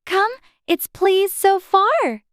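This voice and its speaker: A curious young girl with impeccable manners. The voice sits extremely high, recorded close and dry, as a character in a dramatized storybook. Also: drawn-out words, fairly bright tone, no echo, exaggerated voice. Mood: timid, polite. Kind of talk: dramatized audiobook character acting.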